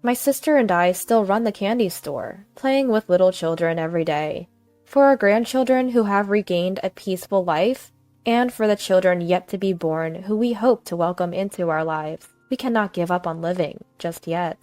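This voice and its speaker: A woman's voice: on the low side, moderate pace, a little mellow, no echo. A woman narrating a scripted first-person family story. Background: background music.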